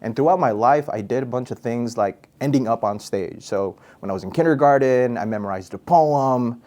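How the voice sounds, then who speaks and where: A man's voice: mid-range voice, moderate pace, dark tone. A young man from Guam, a radio personality and MC, answering interview questions in a laid-back, goofy, chatty way. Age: young adult.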